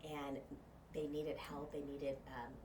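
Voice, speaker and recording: A low-pitched woman from Thailand, Thai her first language, talks as an interview guest. She is chatty and animated, with plenty of "you know". The mic sits a bit far off in an echoey room with steady background noise. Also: slow pace. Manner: animated, chatty, many fillers. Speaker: guest, journalist and media trainer. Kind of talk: casual interview. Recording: roomy, distant mic, noisy.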